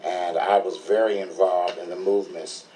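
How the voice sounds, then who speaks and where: A man in his sixties, a panel guest, speaking in a measured, deliberate way on a radio talk show. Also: low-pitched, steady tone, warm tone, a little hiss or hum. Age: 60s. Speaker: community elder guest.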